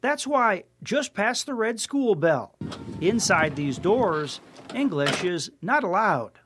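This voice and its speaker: A man narrating a news feature in a slow, measured, scripted style. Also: sits high, sing-song, background noise.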